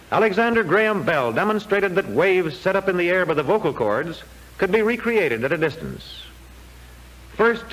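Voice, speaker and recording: High-pitched 1940s educational film narrator, male. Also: fairly bright tone, low-quality recording. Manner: formal, measured, declamatory delivery. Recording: old 1940s film soundtrack.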